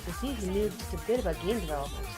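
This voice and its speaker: A woman's voice: medium pitch, lively intonation, rapid-fire, over music, close to an everyday voice. A woman voicing a stressed, grumpy male game developer in a visual novel, speaking in a condescending, scolding way.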